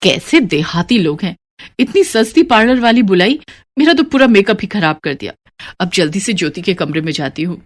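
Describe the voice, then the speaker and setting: A woman acts out a lazy, haughty young sister-in-law from the city. She is dismissive and scheming, peppering her talk with English words in animated storybook style. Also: mid-range voice, normal speed. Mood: grumbling, scornful. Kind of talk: animated moral story character dialogue.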